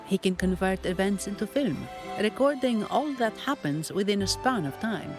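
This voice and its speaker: A woman plays a composed young noblewoman who lays out plans in a calm, measured voice. She sounds controlled and sure of herself. Game music plays clearly beneath her. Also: mid-range voice, natural voice.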